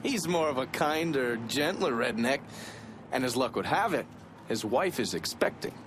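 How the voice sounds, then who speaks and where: A man's voice: mid-range voice, lively intonation, normal speed, noisy background, lightly acted. An actor playing a sardonic male intelligence operative in a game, reporting in a wry, mocking, chatty way. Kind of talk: cinematic game dialogue.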